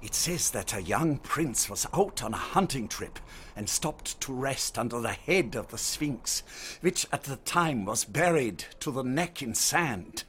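Courteous German archaeologist, animated delivery. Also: male voice, medium pitch, a little slow, a little hiss or hum. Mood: reverent, explanatory. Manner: formal, gentlemanly storyteller. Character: courteous, learned German archaeologist showing visitors the Sphinx. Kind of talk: radio drama acting.

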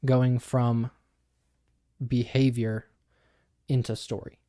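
A young man co-hosting a podcast, explaining ideas in a thoughtful, measured, conversational way. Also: mid-range voice, level tone, warm tone, no echo, studio quality. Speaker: podcast co-host. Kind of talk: casual explanatory discussion.

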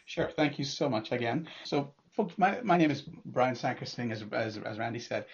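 A man in his fifties, originally from Trinidad, talks through his life story at an easy, halting pace, stumbling over repeated words and fillers. His voice sits at an ordinary middle pitch. The sound is dull and lo-fi, as if it came over a remote connection. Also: expressive. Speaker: guest poet. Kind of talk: casual interview talk.

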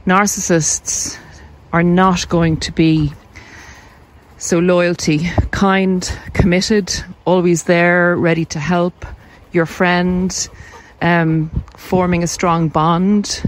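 A woman with an Irish accent talking informally and reflectively to viewers while out on a walk, pausing as she explains. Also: lowish voice, flat delivery, rough recording. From Ireland. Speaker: video host, narcissism coach. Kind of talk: casual chat to camera while walking. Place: outdoors on a country walk.

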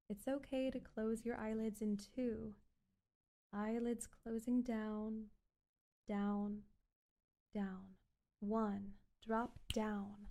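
A woman performing an ASMR hypnosis roleplay as a calm, controlling doctor, speaking in a soothing, repetitive, coaxing way. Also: on the high side. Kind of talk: ASMR hypnosis roleplay, guided relaxation script.

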